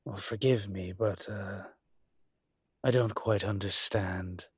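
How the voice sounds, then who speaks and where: Man playing a slow, low, suave mafia boss. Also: fairly even intonation, husky, no echo, studio quality. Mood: businesslike, curious. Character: suave, teasing male dragon mafia boss. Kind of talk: scripted romantic audio roleplay.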